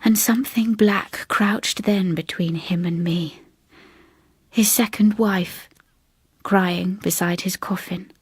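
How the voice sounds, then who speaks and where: A woman voicing a sombre, haunted older spinster recounting a ghostly tragedy in a hushed, halting, grave manner. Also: mid-range voice, steady tone, slightly rough, no echo, voice pushed down. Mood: bleak, resigned. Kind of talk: dramatic ghost story reading.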